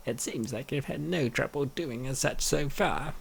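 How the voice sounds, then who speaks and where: A man's voice: mid-range voice, normal speed, creaky, a little hiss or hum. A man performing an acted reading of comic dialogue, voicing the characters as a dub actor.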